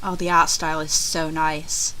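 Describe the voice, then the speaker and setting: A woman doing chatty, excitable let's-play commentary on a game, sometimes reading its text aloud. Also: low-pitched, normal speed, slight background noise, poor audio. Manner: excitable, chatty, easily startled. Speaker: host of a gaming let's play.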